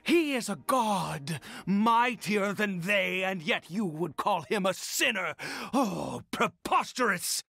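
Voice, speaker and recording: A man's voice: sits high, animated, vocal fry, pushed and bright, faint music behind, heavily acted. A theatrical, wildly swooping fanatical father. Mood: indignant, zealous. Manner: theatrical, halting, with pauses and emphatic swells. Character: fanatical, unhinged father devoted to a mysterious god. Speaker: voice actor. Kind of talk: dramatic game dialogue acting.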